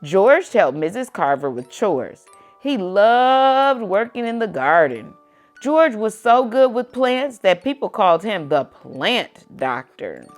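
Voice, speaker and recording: A woman's voice: medium pitch, big pitch swings, slow pace. A woman reading a children's book aloud as host, using simple phrasing and speaking to a young child between passages. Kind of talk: read-aloud storytelling with simple asides to a child. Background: background music.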